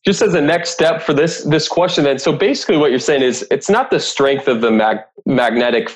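A man's voice: fairly high pitch, brisk, rough recording. Male podcast host interviewing. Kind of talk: conversational technical interview.

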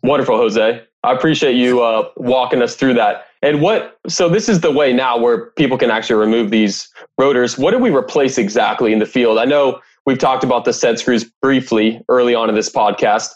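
A man's voice: mid-range voice, normal speed, hardly any pauses, muffled recording. A man hosting a podcast in a conversational, enthusiastic and complimentary interviewing style. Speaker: podcast host.

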